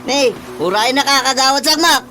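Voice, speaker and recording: A man's voice: very high voice, moderate pace, music in the background. A man with an Ilocano accent playing a chatty colleague who coaxes and teases in a radio comedy drama. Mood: teasing. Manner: coaxing, teasing. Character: chatty, cajoling village colleague of the councilmen.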